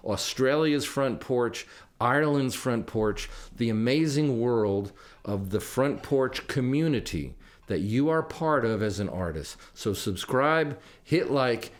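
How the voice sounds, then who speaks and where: American male podcast host, medium pitch, conversational and earnest, storytelling delivery with rhetorical questions. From Kentucky, United States.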